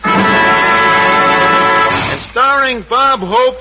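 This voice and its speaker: An actor playing a pompous, blustering New tavern manager who mangles big words in 1940s radio comedy. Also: male voice, extremely high pitch, very slow, over loud music, exaggerated voice. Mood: pompous announcing. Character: blustering malapropism-prone tavern manager, adult man. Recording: muffled, noisy vintage radio broadcast.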